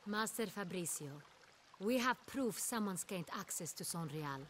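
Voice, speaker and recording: An actress playing a regal, commanding duchess in a fantasy game, speaking formally and with authority. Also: female voice, mid-range voice, animated, moderate pace, fairly bright tone, a little hiss or hum, lightly acted. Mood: stern, imperious. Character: imperious, commanding young duchess, regal and sharp-tempered. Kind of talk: video game voice acting.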